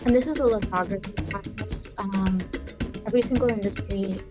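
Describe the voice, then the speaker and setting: A young woman presents on a video call in a mid-range voice, chatty and quick to laugh. Clear music plays underneath from a shared video. Her delivery is casual and relaxed. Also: medium pitch. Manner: chatty, laughs easily, uses "like". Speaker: engineer presenting on a career panel. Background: video soundtrack music.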